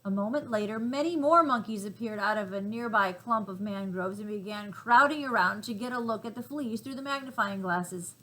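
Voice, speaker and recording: Bright-voiced woman reading a children's story. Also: medium pitch, normal speed, few pauses, poor audio. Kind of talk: expressive read-aloud narration.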